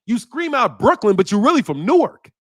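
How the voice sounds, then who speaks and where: Animated slangy man streaming on boxing. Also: extremely high pitch, brisk. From Chicago area. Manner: animated, slangy, loud outbursts and laughter. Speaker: boxing channel host. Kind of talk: casual livestream talk. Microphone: streaming mic.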